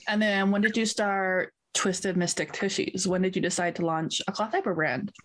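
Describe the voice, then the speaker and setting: A woman's voice: medium pitch, steady tone, normal speed. A woman from Canada hosting a podcast interview, friendly and upbeat, laughing easily. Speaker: podcast host. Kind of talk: interview chat.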